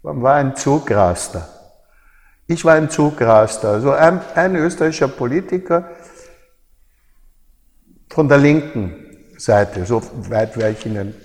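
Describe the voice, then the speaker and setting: A man's voice: mid-range voice, lively intonation, a little mellow. Older Austrian man in a reverberant hall. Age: older, over 70. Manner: halting, repeats and reformulates phrases. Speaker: interviewee, former journalist. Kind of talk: reflective interview recollection.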